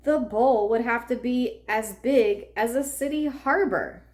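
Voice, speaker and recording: A woman reading a children's picture book aloud expressively, with deliberate phrasing and drawn-out emphasis. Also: a little high, a little mellow. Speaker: read-aloud narrator for children.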